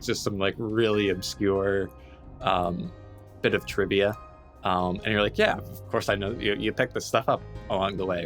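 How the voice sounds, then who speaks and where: A man's voice: on the low side, a bit bright. A man playing a tabletop game, improvising in casual, rambling talk peppered with "like". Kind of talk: casual improvised roleplay chat. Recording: music playing behind the voice. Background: clear background music.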